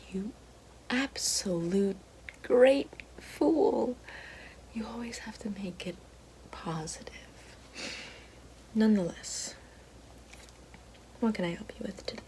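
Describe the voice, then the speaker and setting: A woman playing a bitter, sassy fallen evil fairy working a help line, in a breathy whispered ASMR roleplay with slangy sarcasm. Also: slight background noise, poor audio. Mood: bitter, dry. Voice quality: breathy whisper mixed with soft low speech.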